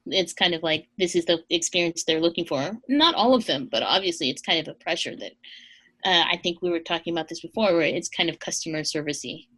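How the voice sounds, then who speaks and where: A woman's voice: lowish voice, brisk, fairly bright tone. A woman, a college English instructor, speaking casually in a podcast roundtable and thinking aloud with frequent "kind of" and "like".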